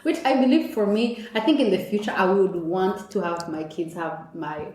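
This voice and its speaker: A woman's voice: medium pitch, moderate pace, roomy sound. A young Rwandan woman with a Rwandan accent, a Kinyarwanda native speaker, chatting as a podcast guest and laughing often as she tells stories. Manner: animated storyteller, laughs often. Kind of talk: casual interview chat.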